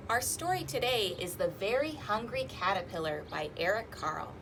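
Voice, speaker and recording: A woman hosting a children's storytime, reading rhymes and picture books aloud in an animated, sing-song, exaggerated way. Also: medium pitch, moderate pace, background noise. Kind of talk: interactive children's read-aloud with rhymes and fingerplay.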